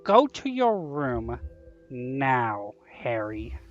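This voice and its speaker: A menacing middle-aged uncle, acted by a woman in an audio play, pitched very low for a female voice and swinging widely. He speaks slowly and angrily through a dull, lo-fi microphone over music. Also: big pitch swings, heavily acted. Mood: stern anger. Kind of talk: amateur audio drama acting.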